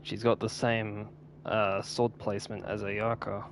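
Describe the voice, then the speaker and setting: A man's voice: on the low side, little pitch movement, measured pace, slight background noise. A man giving casual gameplay commentary, musing offhandedly to himself as he plays. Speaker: gaming streamer. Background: game music.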